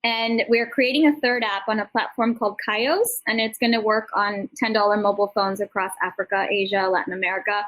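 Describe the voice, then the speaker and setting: A woman's voice: medium pitch, fairly even intonation, fairly quick, few pauses, fairly bright tone, rough recording. A middle-aged woman speaking informally as a summit panel host, encouraging and effusive, rambling conversationally through anecdotes and motivation.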